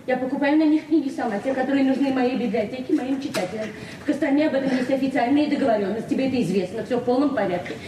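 On a noisy, echoey old radio play recording, an actress plays a proud small-town librarian in her forties. Her high voice moves quickly, plain-spoken and frank, with the earnest grievance of a hard-pressed woman. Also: recorded from a distance, natural voice. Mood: indignant. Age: 40s. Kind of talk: Soviet 1970s radio drama acting.

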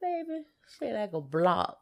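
Lady on a chatty podcast, real slow n laid-back, lots of vocal fry. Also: medium pitch, very animated, darkish tone. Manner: laid-back, brief replies. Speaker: podcast co-host. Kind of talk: casual chat.

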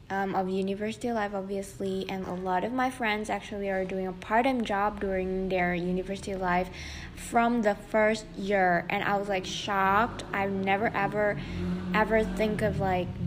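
A woman's voice: medium pitch, slight background noise. Chatty young woman podcaster. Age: young adult. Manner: chatty, many fillers and "like". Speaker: podcast host. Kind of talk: casual solo podcast talk.

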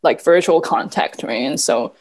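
Soft, reflective guest, Cantonese native. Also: female voice, low voice, moderate pace. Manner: thoughtful, soft-spoken, many "like" fillers, quiet laughs. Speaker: podcast guest. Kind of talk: reflective conversation.

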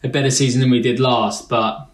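A man co-hosting a podcast, talking in a slightly halting, self-deprecating way. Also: mid-range voice, level tone, over a noisy crowd. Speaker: podcast co-host. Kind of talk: casual chat. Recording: roomy echo.

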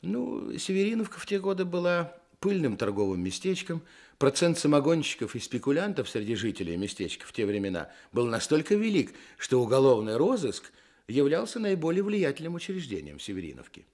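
An actor playing a storyteller in a Russian radio play, narrating with measured, gentle irony. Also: male voice, a little high, very animated. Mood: wry, ironic. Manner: measured, ironic.

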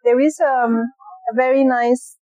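A woman host speaks in a slightly high, mellow voice, slow and thoughtful, often pausing as she searches for her words. The sound is dry, with little room around it. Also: fairly even intonation, no room sound, soft background music. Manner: slow, thoughtful, hesitant with pauses. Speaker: podcast host. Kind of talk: podcast introduction and interview. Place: roadside cafe on a busy street.

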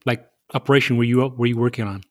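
A man's voice: medium pitch, steady tone, fast talker. A man from Southeast London hosting a podcast, reading a guest introduction and chatting in a friendly, conversational way. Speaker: podcast host. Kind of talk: podcast interview.

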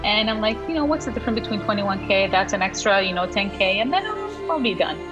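A woman's voice: mid-range voice, very animated, fast, over music. A woman from Iraq and Canada, a fitness coach, chatting casually as a podcast guest and laughing often. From Iraq, then Canada. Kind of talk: casual interview answers.

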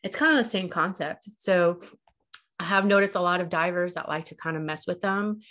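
A woman's voice: lowish voice, moderate pace, a bit bright. A woman guest on a podcast, a little stuffy-nosed, explaining in an easygoing way with many fillers and "like"s. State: stuffy nose. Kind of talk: casual podcast chat.

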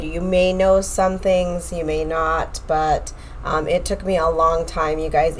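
A woman's voice: fairly low pitch, a little hiss or hum, poor audio. A woman coach hosting a podcast, chatting casually with a slightly nasal voice, giving friendly tips straight to her listeners. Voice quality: nasal from allergies. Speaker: podcast host, health and fitness coach.

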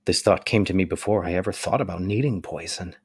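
A man playing an anguished, resolute young nobleman in a dramatic reading of a stage tragedy, declaiming deliberately. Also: sits low, gravelly, darkish tone, husky, dry recording, heavily acted. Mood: brooding.

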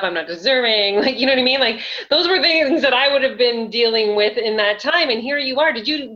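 A woman hosting a podcast, chatting in an animated, empathetic way and asking long, searching questions. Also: a little high, muffled recording. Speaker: podcast host. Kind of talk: casual interview chat.

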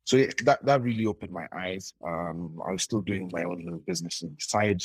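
A young man speaks as a guest in a casual interview, telling his story in a loose, rambling way. His voice sits low and has a touch of huskiness. He often restarts his sentences and laughs between thoughts, and the recording is dry and close. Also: brisk, husky, no room sound. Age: young adult.

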